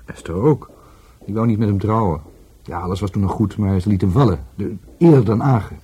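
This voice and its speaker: An actor playing an easygoing, philosophical witness in a radio drama, musing in colloquial speech. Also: male voice, lowish voice, fairly quick, dark tone, slight background noise, natural voice. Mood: matter-of-fact. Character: philosophical, easygoing male witness, friend of the victim.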